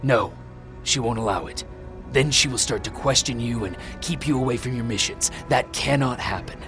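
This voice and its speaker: An actor playing a mysterious, secretive stranger in a video game, speaking in a measured, insistent and commanding manner. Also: male voice, mid-range voice, music in the background, natural voice. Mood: grave, cryptic. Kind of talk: video game cutscene acting.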